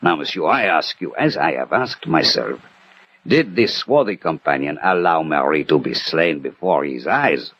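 A man playing a cool, methodical French detective who narrates and reasons deliberately in a 1960 radio mystery. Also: very deep voice, lively intonation, fairly bright tone, slightly rough, exaggerated voice. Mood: probing. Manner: measured, deliberate, analytical. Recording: muffled old radio recording.

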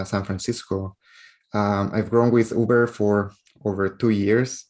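A man's voice: lowish voice, darkish tone. A man from Spain with a Spanish accent, a guest on a podcast interview, speaking with frequent fillers and restarts. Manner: hesitant, many fillers and restarts.

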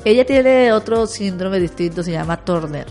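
A woman's voice: mid-range voice, lively intonation, normal speed, a little mellow, soft background music. A woman, a guest in an interview, talking in an earnest, upbeat way and explaining at length. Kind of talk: conversational interview.

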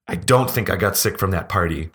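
Podcast host, male, deep and hoarse, quick and bright, chatty with frequent restarts. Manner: chatty, laughing, rambling restarts. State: hoarse, losing his voice. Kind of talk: casual podcast chat.

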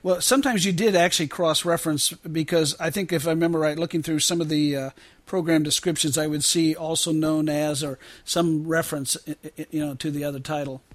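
A man's voice: a little high, fairly even intonation, a little fast. A man hosting a casual interview, phrasing his remarks and questions in a tentative, hesitant way. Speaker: podcast host, interviewer.

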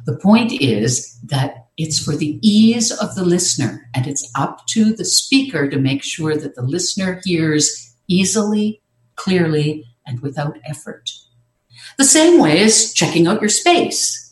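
A speaking coach giving an instructive talk on presenting, measured and explanatory with occasional hesitations. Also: mid-range voice, big pitch swings. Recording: roomy echo.

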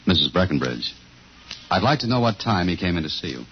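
A man's voice: deep voice, big pitch swings, brisk, a little hiss or hum. An actor playing a dogged, hard-boiled male investigator in 1950s radio noir, terse and insistent. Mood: terse, probing. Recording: dull old radio broadcast.

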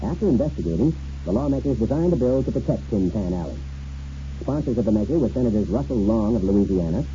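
A man's voice: medium pitch, warm tone. A man narrating scripted anecdotes as a radio feature. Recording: muffled, faintly noisy old radio recording.

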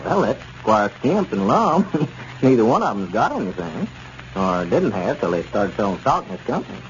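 A man's voice: fairly low pitch, big pitch swings, moderate pace. An actor playing a sensible, skeptical small-town man, plain-spoken and wry, in a 1930s radio serial. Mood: skeptical, amused. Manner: plain-spoken, dryly doubtful. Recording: dull, noisy 1930s radio recording.

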